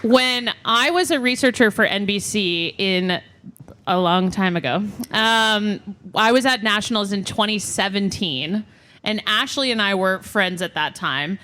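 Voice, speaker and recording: A woman's voice: medium pitch, unhurried, bright, forceful tone. A woman co-hosting a live podcast, chatting casually and telling anecdotes. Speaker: podcast co-host. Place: live show before an audience.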